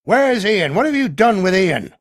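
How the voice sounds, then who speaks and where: A man voicing a belligerent, red-faced male drinker in a village pub who shouts his questions. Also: high-pitched, very animated, moderate pace, voice pushed up.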